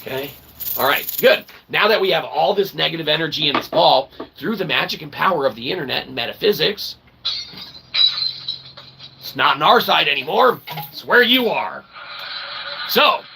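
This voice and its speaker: A man's voice: fairly high pitch, normal speed, creaky, long pauses, rough recording. A man livestreaming a rambling, tongue-in-cheek monologue in verbose, pseudo-formal phrases, often adding short tag questions. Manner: tongue-in-cheek mock philosophizing. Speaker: livestream host. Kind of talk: rambling casual monologue to live viewers. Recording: steady background noise.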